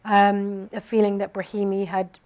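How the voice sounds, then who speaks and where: A woman's voice: mid-range voice, monotone, moderate pace, a little mellow. A woman speaking on a panel discussion, answering a question with many hesitations and restarts.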